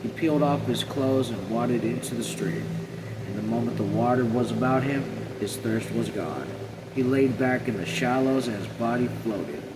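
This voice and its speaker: A man reads a novel aloud as a read-along narrator. His voice is mid-pitched and measured, plain, with small stumbles. Loud, constant air or vehicle noise fills the recording.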